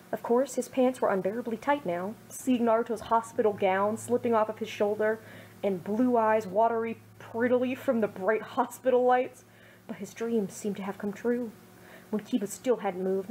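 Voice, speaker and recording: A young woman giving a gentle, earnest amateur reading of a fan fiction story on a home microphone. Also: medium pitch, a little hiss or hum, low-quality recording.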